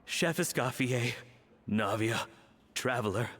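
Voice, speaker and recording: A man's voice: mid-range voice, animated, takes it slowly, a bit bright, in a large hall, slight background noise. An actor playing an earnest, kindly, grateful father in a video game.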